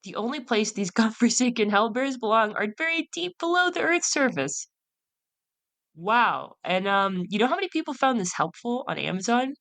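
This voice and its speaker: A woman's voice: fairly high pitch, animated, moderate pace, a bit bright. A woman hosting a solo podcast, reading aloud and chatting in a casual, storytelling way. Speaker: podcast host.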